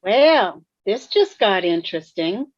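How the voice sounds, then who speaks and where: A woman's voice: mid-range voice, sing-song, takes it slowly. A woman playing a blunt, sardonic ghost with dramatic flair in a table read, heard through lo-fi call audio. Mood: amused. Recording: dull, lo-fi video call audio.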